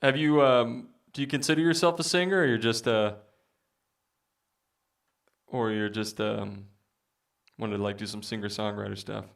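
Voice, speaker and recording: A man with a baritone voice chatting casually with viewers as a musician streamer, rambling with many fillers. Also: fairly even intonation, normal speed, gravelly, pauses often, distant mic. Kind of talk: casual livestream chat.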